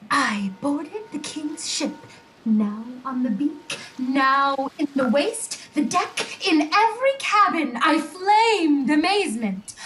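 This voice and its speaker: An eager servant sprite of the air with a very high voice. The spirit declaims Shakespearean verse slowly and theatrically for an audio drama, over faint background noise. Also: female voice, a bit bright, exaggerated voice, poor audio.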